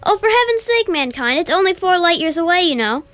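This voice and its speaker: A woman's voice: very high-pitched, big pitch swings, a little fast, a bit bright, no echo, heavily acted. A woman playing an officious, impatient alien bureaucrat commander in an amateur radio comedy. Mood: exasperated, scornful.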